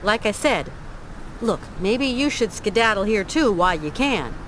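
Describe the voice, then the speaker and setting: An actress playing a plucky, level-headed adventure heroine in a video game, with a natural, direct delivery. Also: female voice, medium pitch, expressive, moderate pace, fairly bright tone, a little hiss or hum, natural voice, poor audio. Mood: coaxing.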